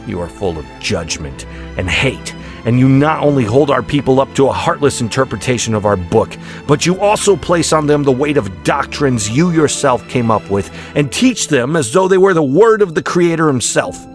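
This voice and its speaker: A man's voice: mid-range voice, expressive, moderate pace, voice pushed up, close to an everyday voice. A man voicing a righteous, defiant prophet who scolds with preacherly passion in an audiobook drama. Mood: impassioned rebuke. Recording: music behind the voice.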